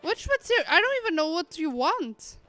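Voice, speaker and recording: A woman's voice: very high-pitched, sing-song, fairly quick, bright, forceful tone, soft background music. A woman giving casual live commentary on a game as a streamer, informal and affectionate in her reactions, close to the microphone.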